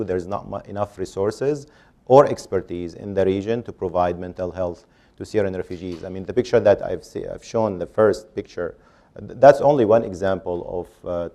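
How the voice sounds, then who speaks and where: A man on a panel answering audience questions, speaking haltingly with many fillers and restarts. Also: low-pitched, mellow.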